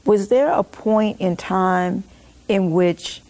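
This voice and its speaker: Mellow, creaky-voiced woman host. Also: medium pitch, slow. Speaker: TV interview host. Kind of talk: conversational religious interview. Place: indoor TV studio set, seated.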